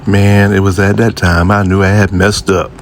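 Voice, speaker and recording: A man in his fifties with a low voice and a Southern American accent. He talks in a folksy, conversational way, as if chatting to his viewers while telling a personal story. He is outdoors, with noticeable ambient noise and cars behind him. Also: little pitch movement, moderate pace. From Jacksonville, United States. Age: 50s. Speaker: vlogger. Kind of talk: casual personal storytelling to camera. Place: outdoors by an old building near a highway.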